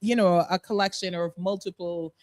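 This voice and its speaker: A woman's voice: fairly low pitch, fairly even intonation, moderate pace, a little mellow, no echo. A middle-aged woman from Jamaica, hosting a radio interview show in a studio, upbeat and chatty, laughing often. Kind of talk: casual interview chat.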